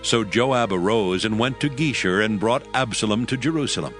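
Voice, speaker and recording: A male narrator reading scripture in a measured, solemn manner, his voice on the low side. Soft music runs faintly underneath.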